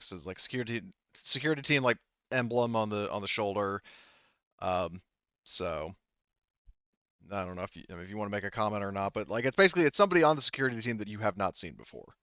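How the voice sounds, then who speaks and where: Halting, clear-voiced man running a tabletop game. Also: on the low side, a bit bright, dry recording, lo-fi sound. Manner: hesitant, halting, conversational. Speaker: game master. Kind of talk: running a tabletop roleplaying game, improvised narration and casual questions.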